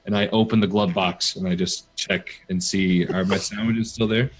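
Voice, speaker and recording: A man's voice: sits low, steady tone, normal speed, slight background noise, rough recording. A man casually describing his moves as a player in a tabletop game, offhand and hesitant. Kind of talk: casual improvised role-play narration.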